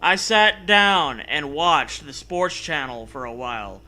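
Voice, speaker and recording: High-voiced man, piercingly bright, hosting comedy: theatrical, sweary, sarcastic story reading with joking asides. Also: lively intonation, slow. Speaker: comedy show host. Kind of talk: mocking read-aloud of a story with joking asides.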